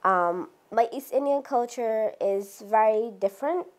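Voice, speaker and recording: A woman's voice: fairly high pitch, unhurried. A young adult woman from Barbados with a Barbadian accent, speaking about herself as an interviewee in a documentary.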